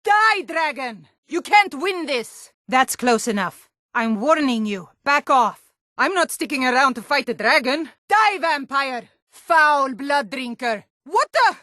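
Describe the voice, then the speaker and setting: A stern Nord townswoman from a fantasy video game, with a fairly high voice and a dry, close sound. She is blunt and challenging, quick to suspicion and temper, and stresses her words firmly. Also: expressive, pushed and bright, no echo, exaggerated voice. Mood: battle fury. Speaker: game character voice.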